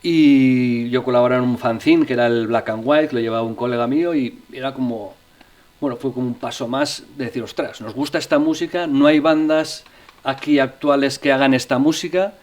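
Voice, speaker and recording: A middle-aged man from Navarre, a musician and interview guest, recounting his story in a relaxed way with frequent restarts and fillers. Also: medium pitch, fairly quick. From Navarre, Spain. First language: Spanish.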